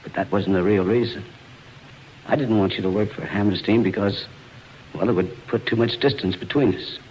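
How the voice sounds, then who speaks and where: An actor playing an earnest, smooth-talking man in love in a 1940s radio drama. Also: lowish voice, normal speed, a little mellow, close to an everyday voice. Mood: earnest, confessing. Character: earnest, smooth-talking male suitor, cafe schemer in love. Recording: muffled, hissy 1940s radio broadcast.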